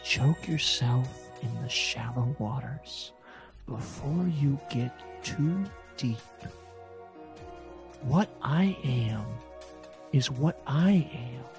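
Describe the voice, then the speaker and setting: A man's voice: medium pitch, extremely slow, gravelly, over music. A man playing an eccentric, domineering, unqualified therapist in improvised comedy, coaxing and rambling with mock authority. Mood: slow, hypnotic. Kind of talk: improvised comedic character acting.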